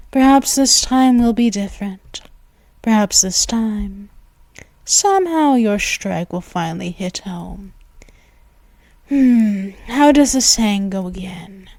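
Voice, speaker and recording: A woman voicing a seductive, menacing female vampire, speaking slowly with a purring, teasing delivery and soft whispers, recorded on a close mic. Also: medium pitch, dry recording. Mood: mocking. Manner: slow, teasing, threatening. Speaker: ASMR roleplay voice actress. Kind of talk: ASMR roleplay.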